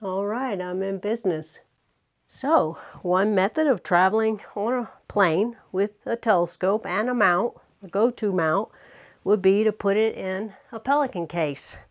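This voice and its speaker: A woman in her sixties talking to camera in a casual, unhurried, plain-spoken explanatory vlog style with dry humor. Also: medium pitch, no room sound. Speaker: vlogger, amateur astronomer.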